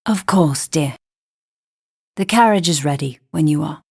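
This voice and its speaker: A gentle motherly lady in an animated game. Her voice sits low and slightly rough, husky and patient. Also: a little slow, full character voice. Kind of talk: animated game cutscene acting.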